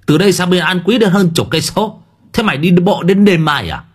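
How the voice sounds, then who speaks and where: A man voicing a gruff, foul-mouthed old man in an audio story, grumbling bluntly. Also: mid-range voice, very animated, normal speed. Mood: scornful.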